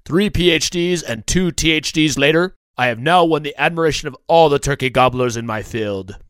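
A man's voice: fairly high pitch, normal speed, a bit bright, no echo, studio quality, voice pushed up, lightly acted. A man voicing a proud, snobbish male turkey scholar in a children's story, with a haughty, pompous manner. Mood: boastful.

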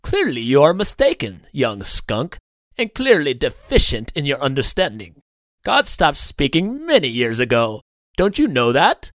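A man's voice: medium pitch, huge pitch swings, a little slow, a bit bright, dry recording, studio quality. A man voicing a pompous, condescending turkey scholar in a children's story, with a fancy-sounding, lecturing manner. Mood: pompous, scolding.

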